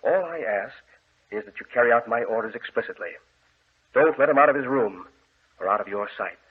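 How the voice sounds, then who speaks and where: An actor playing a grave, controlling gentleman in a 1940s radio horror drama, speaking with formal, solemn command and a husky voice. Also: medium pitch, animated, fairly quick. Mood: firm, commanding. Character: grave, controlling gentleman guarding his deranged brother. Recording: tinny, band-limited old radio recording.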